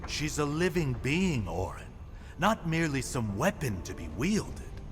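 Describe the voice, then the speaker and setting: An actor playing a good-natured, earnest armourer and loyal war comrade in a fantasy game. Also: male voice, a little high, big pitch swings, a little slow. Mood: earnest, friendly. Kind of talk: cinematic fantasy game acting. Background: music.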